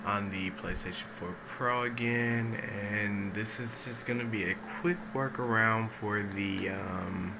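A man giving a casual, unscripted step-by-step tech tutorial, speaking informally with hesitant pauses, recorded indoors on a handheld camera. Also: lowish voice, steady tone, background noise. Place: indoors, small dim room at a desk setup. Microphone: handheld camera or phone mic.

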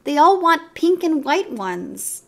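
A woman voicing a lonely toy bunny who speaks gently, wistful and a little self-mocking. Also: sits high, very animated, takes it slowly, voice pushed up, full character voice. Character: lonely green plush bunny, gentle and sad.

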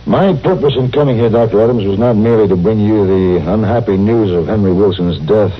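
Man as formal, low-voiced visiting city doctor. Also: lightly acted. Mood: earnest, persuasive. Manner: polite, measured, formal. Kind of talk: 1950s radio western acting. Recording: muffled, hissy old radio recording.

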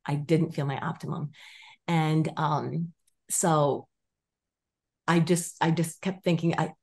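A woman in her fifties, a guest on a video call, telling her story with lively, restarting sentences and acted-out quotes, gesturing as she talks. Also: low voice, slow pace, vocal fry. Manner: animated storytelling with many restarts and quoted voices. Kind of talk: casual interview chat. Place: home room with stone wall, indoors.

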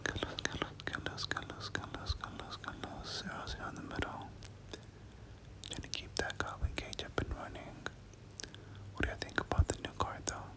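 A person doing whispered ASMR game commentary, speaking casually in gamer slang and often repeating short phrases. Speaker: gameplay commentator.

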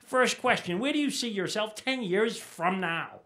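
A middle-aged man doing an energetic, punchy stand-up set on a small TV studio stage, into a handheld mic. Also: high voice, measured pace, noisy background. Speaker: stand-up comedian. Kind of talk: stand-up routine.

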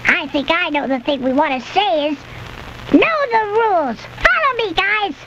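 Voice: very high voice, lively intonation, normal speed, very noisy. Eager young cartoon duck boys. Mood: earnest. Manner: cheerful, earnest explaining. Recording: muffled old 16mm film sound with music.

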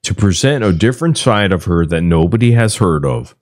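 A man's voice: low voice, expressive, a little fast, no room sound, clean studio sound. A man hosting a livestream, talking casually in a blunt, profane way. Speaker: livestream host.

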